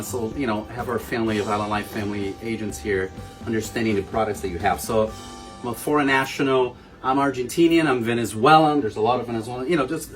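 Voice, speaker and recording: Male podcast host, lively emphasis, casual interview chat, soft music bed. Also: mid-range voice. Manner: upbeat, animated emphasis, agreeing often.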